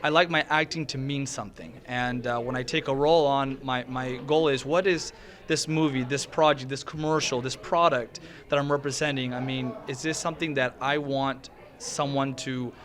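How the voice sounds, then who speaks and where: A man's voice: medium pitch, steady tone, normal speed, few pauses, a bit bright. A middle-aged man, an actor talking earnestly and emotionally at an indoor red carpet interview, often restarting his words. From Venezuela.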